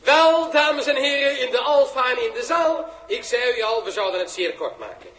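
An actor in a 1960s radio drama playing a brisk, polite host addressing an audience. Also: male voice, very high voice, lively intonation, a bit bright. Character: brisk, polite male host of a live broadcast. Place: hall. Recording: echoey hall with faint noise.

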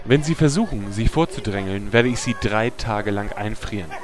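A man playing a formal, unhelpful game master who recites in a deadpan, scripted way in a comedy audio drama. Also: fairly low pitch, normal speed, natural voice. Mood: flat officialese. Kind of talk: comic radio play parody acting.

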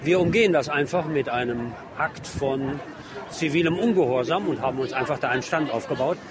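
A man's voice: mid-range voice, fairly quick, a crowd in the background, background noise. A man presenting radio reportage as host and street reporter, switching between German and Spanish.

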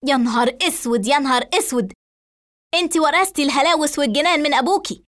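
A woman's voice: high-pitched, fast, fairly bright tone, voice pushed up. A woman voicing an irritable, alarmed mother in an audio story, agitated and scolding, with an Egyptian accent. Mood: angry, alarmed.

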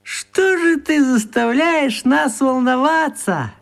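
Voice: high-pitched, takes it slowly, vocal fry, full character voice. An actor in a children's radio play voicing a teenage boy gang leader, a fake-friendly bully with a drawling, mock-affectionate manner.

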